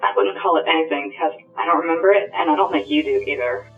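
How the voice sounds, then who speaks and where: A man's voice: on the high side, fast, gravelly. A man voicing an irreverent, blunt little robotic ghost companion with a flippant manner and a radio-like filter, in an audio drama. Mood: mocking. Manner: sarcastic, flippant. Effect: thin radio-like filter. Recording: thin phone-like filtered sound, distant, music.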